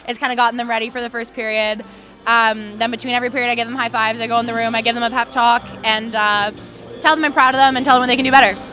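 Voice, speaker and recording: A woman's voice: fairly high pitch, flat delivery, fast, bright, forceful tone, faint music behind. A young woman, an athlete, answering in a casual sports interview. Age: young adult. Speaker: hockey player being interviewed.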